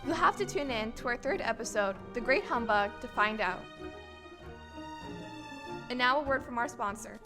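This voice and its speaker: A woman's voice: a little high, moderate pace, pauses often, music in the background. A woman hosting an audio drama, reading scripted announcements. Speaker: host announcer.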